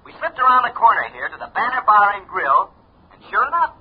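An American man playing an eager, excitable newspaper reporter in a 1950s radio drama. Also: high-pitched, fairly quick, breathy edge, full character voice. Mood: eager, smitten. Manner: eager, animated. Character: eager, excitable male reporter, smitten best friend. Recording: muffled old radio, faint noise.

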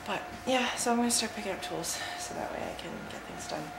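A woman's voice: medium pitch, fast talker, gravelly. A young woman vlogging casually as she walks through an empty house, rambling with lots of fillers, filming on a handheld camera. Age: young adult. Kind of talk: casual vlog. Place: empty house with bare rooms, indoors. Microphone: handheld camera at arm's length. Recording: echoey empty room, audible noise.

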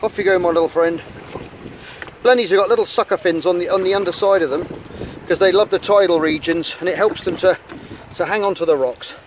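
A man's voice: sits high, a little fast. A middle-aged man casually narrating a nature vlog outdoors at rock pools, chatty and enthusiastic as he handles sea creatures. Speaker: channel host. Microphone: camera held in hand at the shore. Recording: outdoor wind noise on mic.

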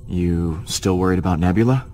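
A man's voice: sits low, brisk, dark tone, slight background noise, close to an everyday voice. An actor voicing a cocky, wisecracking male space captain with an offhand, joking manner. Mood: casual. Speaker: game character.

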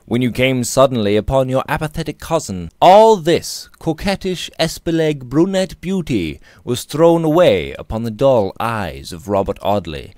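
A man in his thirties reading a Victorian novel aloud with theatrical, deliberate phrasing, close to the microphone in a small room. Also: mid-range voice, a little mellow, no echo. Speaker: audiobook reader. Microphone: close studio mic on boom arm.

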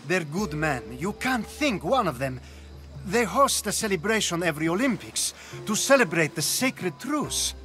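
An actor playing a tipsy, defensive Greek reveller with a loose, hesitant manner in a video game. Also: male voice, high-pitched, big pitch swings, moderate pace, sharp tone, faint music behind, heavily acted. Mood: defensive, worried.

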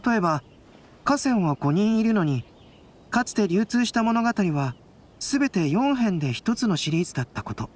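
A woman voicing a calm, thoughtful young male scholar in an anime-style game, composed and analytical. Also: medium pitch, normal speed. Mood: pensive. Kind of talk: anime game dialogue acting.

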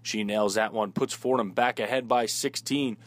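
A man announcing sports highlights in a play-by-play commentary style. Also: fairly even intonation, normal speed.

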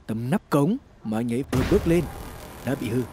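A man's voice: medium pitch, animated, a little fast, background noise. A man reads scripted horror story narration in a dramatic, suspenseful storytelling manner. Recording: music behind the voice. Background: background music.

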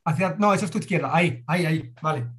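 A man's voice: on the high side, fast talker, a bit bright. A middle-aged man, a watercolor teacher, giving encouraging critiques of students' paintings over a video call from a room at home, often repeating himself as he searches for words.

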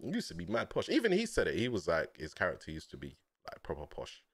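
Laid-back man with lively pitch. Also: fast, no room sound. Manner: laid-back slangy storytelling, laughs often. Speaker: streamer. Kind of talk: casual stream chat. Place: small dim room at home. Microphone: headset.

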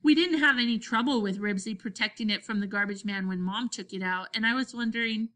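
An earnest, excitable young boy from a children's storybook, acted out by a woman reader. The voice is high and bright, bursting with eager insistence. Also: a little fast.

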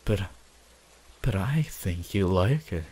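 A menacing young male villain, acted by a man for an ASMR roleplay, with a deep voice. He speaks very slowly and deliberately, leaving dramatic pauses, possessive and gloating, full of grand ambition. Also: expressive, darkish tone, a little hiss or hum. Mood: possessive, hypnotic. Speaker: voice actor.

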